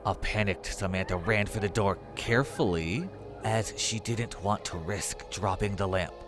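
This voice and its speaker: Deep-voiced man narrating a horror story. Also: a little slow, over loud music.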